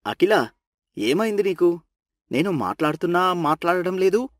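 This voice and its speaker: A man voicing a concerned, protective young husband in an animated family story. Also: high-pitched, normal speed. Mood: concerned, soothing. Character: concerned, protective young husband, easily indignant for his wife.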